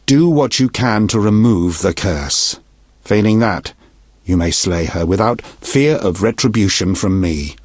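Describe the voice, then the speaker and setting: An actor playing a stern, shrewd middle-aged king in a video game, speaking formally and deliberately with a husky, commanding voice. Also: on the low side, no room sound, clean studio sound. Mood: grave, threatening. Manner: formal, measured, imperious, deliberate diction. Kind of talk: scripted video game dialogue.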